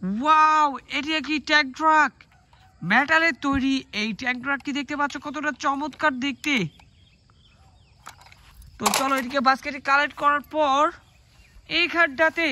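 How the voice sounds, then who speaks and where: Very high, bright toy-video host. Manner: exclamatory, showing items to the audience. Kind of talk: excited presenter narration to viewers.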